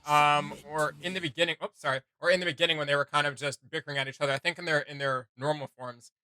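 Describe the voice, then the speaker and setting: A man hosting a video game reaction show, chatting casually in a rambling, excitable, slangy way. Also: a little high, fast talker.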